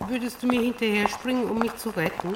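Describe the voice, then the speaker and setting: An actress plays an insistent, searching woman questioning her partner in a radio drama. She is heard from away from the microphone, with clear noise around her and a middle-range voice. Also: on the high side, quick pace, natural voice. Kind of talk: radio play dialogue. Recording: distant mic, clear noise, clicks.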